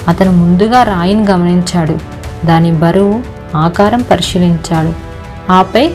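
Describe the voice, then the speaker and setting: A woman's voice: fairly low pitch, a little mellow. Woman narrating a children's story over music.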